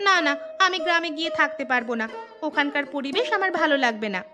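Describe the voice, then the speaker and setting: A woman's voice: high-pitched, lively intonation, fast talker, over music. A woman voicing a stubborn young city wife in a cartoon, pouty and complaining. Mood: sulky.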